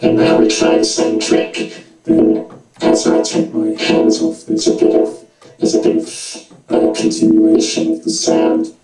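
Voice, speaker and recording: A man's voice: very high-pitched, monotone, measured pace, over loud music. A man speaking through a vocoder that turns his voice into a synthesized robotic sound, giving an informal demonstration. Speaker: synthesizer tutorial presenter. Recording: echoey, loud synthesizer tones in voice.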